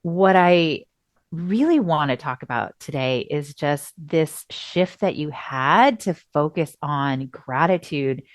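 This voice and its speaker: A woman's voice: low-pitched, slow, no room sound, studio quality. A middle-aged woman co-hosting a podcast, chatting casually and acting out quotes as she tells stories, her voice still recovering from a cough. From Southern California. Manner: expressive storyteller, acts out quotes. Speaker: podcast co-host. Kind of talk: casual chat.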